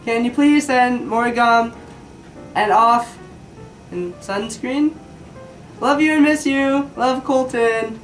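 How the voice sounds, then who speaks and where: A woman's voice: a little high, slow pace, pauses often. A woman reading a child's letter aloud. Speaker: staff member reading aloud. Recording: roomy distant mic with loud music. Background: loud guitar music.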